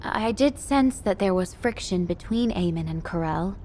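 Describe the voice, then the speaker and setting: An actress playing a dutiful young female military adjutant in a video game, brisk and formal. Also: medium pitch, lively intonation, dark tone, slight background noise, lightly acted. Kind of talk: video game story dialogue.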